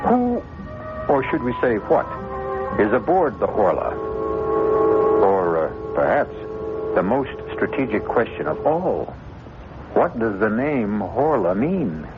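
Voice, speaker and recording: Man, radio mystery host, low animated voice, theatrical, teasing, loud music behind. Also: slow, pauses often. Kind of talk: dramatic scripted radio narration. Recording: thin phone-like old radio sound, loud music.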